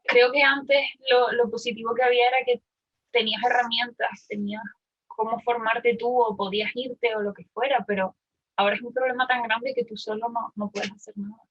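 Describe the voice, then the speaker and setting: A woman's voice: on the high side, fairly even intonation, a little fast, lo-fi sound. A woman in her twenties from Argentina, talking candidly and casually in a personal, reflective way with youthful slang. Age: 20s. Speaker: participant in a group discussion.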